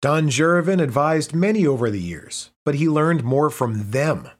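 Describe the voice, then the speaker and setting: A man reading a prepared text aloud as narration, pausing between the items of each list. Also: a little high, lively intonation. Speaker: reader. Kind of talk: scripted narration.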